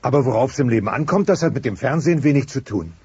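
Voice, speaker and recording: A man's voice: mid-range voice, expressive, fast talker, darkish tone, natural voice. An actor playing a bumbling, sheepish dinosaur father in a cartoonish audio play, earnest, hesitant and prone to stammering. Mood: sincere. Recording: noisy, dull lo-fi cassette.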